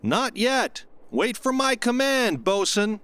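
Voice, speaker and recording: A man's voice: very high voice, very animated, slow pace, a bit bright, dry recording, exaggerated voice. A man playing a curt, complacent spaceship chief giving orders in a radio comedy. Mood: commanding. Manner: commanding, curt. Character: testy, complacent male spaceship chief in command. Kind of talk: old-time radio parody acting.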